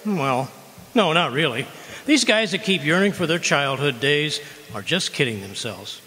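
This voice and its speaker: A man's voice: a little high, animated, measured pace, pushed and bright. A man playing a bumbling, boastful husband in old-time radio comedy, with folksy, stammering talk. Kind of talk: 1940s radio comedy acting. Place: live stage performance in a community hall. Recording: noisy hall with long reverb.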